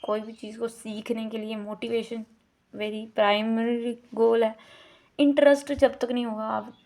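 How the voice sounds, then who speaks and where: A woman with an Indian accent teaches a course unit at an unhurried pace, her voice sitting a little high. She weaves English terms into her explanations and says words over and over to model pronunciation. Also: little pitch movement, darkish tone.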